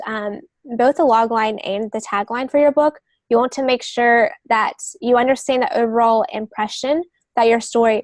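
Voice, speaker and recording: Higher-voiced woman explaining, fairly even tone. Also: dry recording. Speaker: guest expert, novelist and agent. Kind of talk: conversational podcast explanation.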